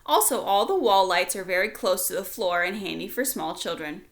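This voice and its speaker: A woman's voice: medium pitch, animated, moderate pace. A woman teacher reading a children's storybook aloud, with casual explanatory asides addressed directly to young listeners.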